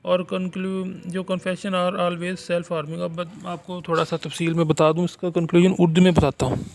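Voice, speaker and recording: A man with a Pakistani accent giving an explanatory lecture in a halting, deliberate manner, as if from notes. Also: sits high, flat delivery, a little mellow. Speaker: law lecturer and channel host.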